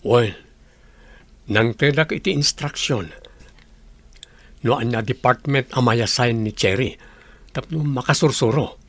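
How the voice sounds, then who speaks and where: A man acting as a scheming, confiding friend in an audio drama, with a husky voice. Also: mid-range voice, measured pace, pauses often, a bit bright, dry recording. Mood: matter-of-fact.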